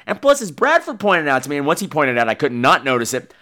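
High-pitched, animated gossipy man. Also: fast talker. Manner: gossipy, catty, profane; animated, dramatic emphasis. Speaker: podcast host. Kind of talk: casual comic storytelling.

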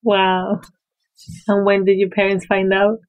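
A woman hosting a parenting podcast who asks questions eagerly and reacts quickly to her guest. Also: medium pitch, monotone, clean tone, phone-quality sound. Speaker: podcast host.

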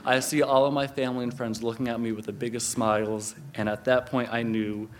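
A man from Connecticut telling a personal story live on stage, animated and laughing often, with many filler words. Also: medium pitch, brisk, slight background noise. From Connecticut, USA. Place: live stage before an audience.

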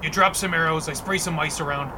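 A man's voice: fairly high pitch, steady tone, quick pace, background noise, natural voice. A man playing a breezy ice supervillain. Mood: wheedling. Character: cocky adult male ice supervillain, smooth dealmaker.

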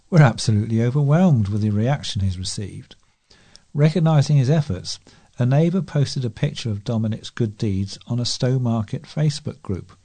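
A man's voice: mid-range voice, mellow. A man reading scripted local news as a volunteer newsreader.

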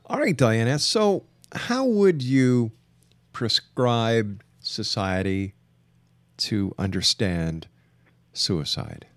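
A man hosting a radio interview, putting long questions in a deliberate, halting way. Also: medium pitch, expressive, no room sound. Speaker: radio show host, interviewer.

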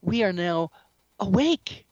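A woman speaking as an interview guest, explaining spiritual ideas in an earnest, measured way. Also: medium pitch, expressive, darkish tone, no room sound, muffled recording. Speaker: interview guest, shamanic practitioner and author.

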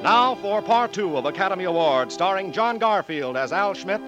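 A man announcing a 1940s radio drama broadcast, with a smooth, polished delivery. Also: sits high, expressive, normal speed, dull audio, over music.

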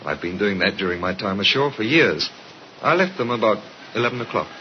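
An actor playing a composed sailor answering police questions in an old-time radio drama, straightforward and careful. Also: male voice, lowish voice, animated, brisk, slight background noise, close to an everyday voice. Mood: calm, explanatory. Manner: straightforward, careful, matter-of-fact. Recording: muffled old radio recording under loud music.

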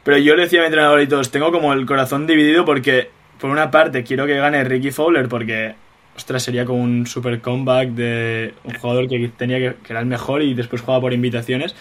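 A young man from Spain hosts a casual interview. His voice sits at a middle pitch. He is chatty and strings his questions together at length. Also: level tone, fast, a bit bright. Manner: chatty, asks long rambling questions. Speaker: podcast host and interviewer.

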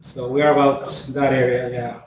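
A man's voice: mid-range voice, little pitch movement, moderate pace, darkish tone, reverberant, distant mic, slight background noise, rough recording. A man from Venezuela with a Venezuelan Spanish accent, a drummer chatting in an easygoing, joking way. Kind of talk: casual chat.

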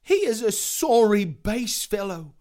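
A man's voice: high voice, big pitch swings, takes it slowly, a little mellow, pitched above the performer's own voice, full character voice. A man voicing spiteful, bloodthirsty jurymen in a dramatized allegory, exclaiming with sneering indignation. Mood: spiteful outrage. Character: spiteful, bloodthirsty male jurymen baying for execution.

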